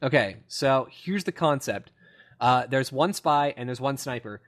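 A man's voice: mid-range voice, expressive, a little slow. A man hosting a casual gaming podcast, explaining things in a rambling, enthusiastic way. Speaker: podcast host.

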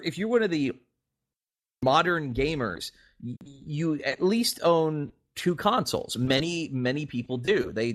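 A man co-hosting a podcast, talking casually and giving his opinions in an animated, skeptical, sarcastic way. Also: mid-range voice. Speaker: podcast co-host.

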